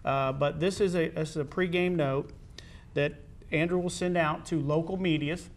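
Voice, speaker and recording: A man hosting a casual, unscripted interview, speaking haltingly as he searches for words. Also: fairly high pitch, steady tone. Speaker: interviewer, host. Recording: clear background noise.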